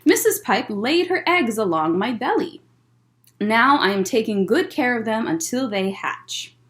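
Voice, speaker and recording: Woman reading as a proud, animated fish dad. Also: on the high side, a little slow. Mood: proud, contented. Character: proud, contented fish fathers from a picture book.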